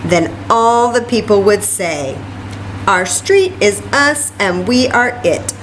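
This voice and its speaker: A woman with a medium-pitched voice hosts story time for children. She reads slowly from a picture book and often breaks off into animated, exclamatory asides to her listeners. A faint layer of background noise sits behind her. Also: poor audio. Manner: animated, exclamatory, addresses young listeners directly.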